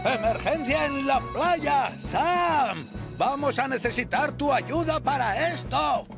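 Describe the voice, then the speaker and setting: A man's voice: very high-pitched, animated, takes it slowly, vocal fry, heavily acted. A man voicing a grave, declamatory fire chief in a children's cartoon. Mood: grave, urgent. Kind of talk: animated children's cartoon dub. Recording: loud music behind dialogue.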